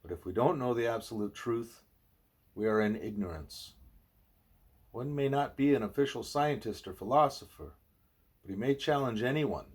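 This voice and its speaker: A man's voice: lowish voice, a little mellow, breathy edge. A man reading a spiritual book aloud in the manner of an audiobook narrator. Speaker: audiobook reader.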